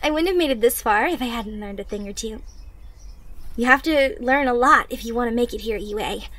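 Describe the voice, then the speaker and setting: Woman voicing a high-pitched, bubbly teen hero girl. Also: fairly quick, a bit bright. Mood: encouraging, explaining. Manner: bubbly, instructional, talking directly to the listener. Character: upbeat, encouraging teenage girl superhero trainee, eager to teach. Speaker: audio roleplay performer. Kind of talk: anime fan ASMR roleplay acting.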